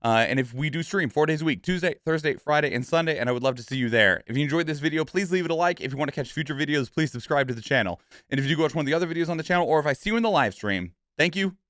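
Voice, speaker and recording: A man's voice: on the high side, lively intonation, racing, hardly any pauses, a bit bright, no echo, studio quality. A man giving casual live reaction commentary as a streamer, thinking aloud in a rambling, conversational way. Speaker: streamer and reaction video host.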